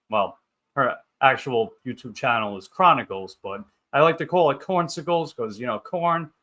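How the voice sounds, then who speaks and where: Bright-voiced thirty-year-old man chatting casually. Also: on the high side. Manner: rambling, upbeat banter with catchphrases. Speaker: livestream host. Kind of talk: casual livestream chat, reading and answering viewer comments.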